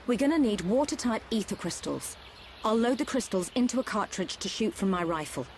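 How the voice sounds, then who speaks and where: A woman's voice: medium pitch, animated, brisk, a little mellow, a little hiss or hum, lightly acted. A woman playing an assured, caring young field medic in a video game, explaining things with confidence. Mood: serious. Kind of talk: video game cutscene acting.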